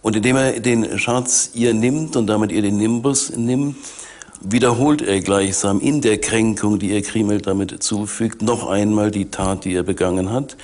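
A man in his sixties giving explanatory expert commentary in an interview, speaking deliberately with emphatic repetition. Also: on the low side, steady tone, darkish tone. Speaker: forensic psychiatrist, expert interviewee. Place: dim indoor interview set, seated.

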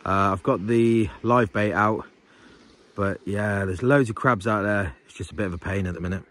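A man in his thirties chatting casually through a fishing vlog, relaxed and full of fillers, outdoors on a beach at night and later at home. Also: fairly low pitch, moderate pace. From England. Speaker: angling vlogger.

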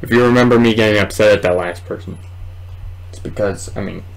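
A man in his twenties giving casual, rambling let's play commentary at home, halting at times. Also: fairly low pitch, gravelly, rough recording. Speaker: gaming video host. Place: small room at home, indoors.

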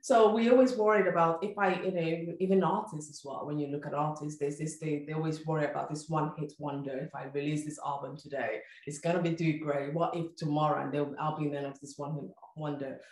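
Woman host, rambly long questions, lowish voice, roomy echo. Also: fairly even intonation, brisk, hardly any pauses, a little mellow. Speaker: interview host. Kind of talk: interview questions.

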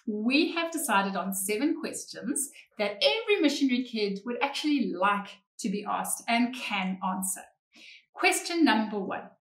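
Animated South African mother presenting at a dining table, roomy echo, bright high voice. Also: moderate pace. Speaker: missionary mother. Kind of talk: scripted presenting. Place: home dining room, indoors. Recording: echoey room.

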